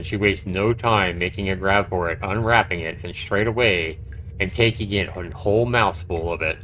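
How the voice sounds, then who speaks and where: A man's voice: lowish voice, a bit bright, slight background noise, rough recording. A man live-streaming a game, reading its text aloud and adding casual, reactive commentary. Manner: reactive, conversational.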